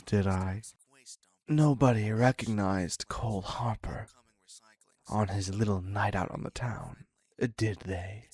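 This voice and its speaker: A man's voice: fairly low pitch, a little mellow, breathy edge, full character voice. A man playing a theatrical, clingy serial killer in an audio roleplay, wry and mock-courteous as he dotes on his captive. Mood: playfully teasing. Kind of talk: scripted dark romance audio roleplay.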